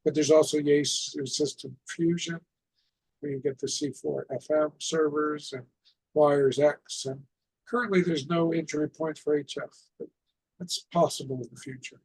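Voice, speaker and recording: Higher-pitched husky man, flat delivery. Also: normal speed, no echo, rough recording. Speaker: amateur radio net control presenter. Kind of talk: informal instructional presentation over a radio net.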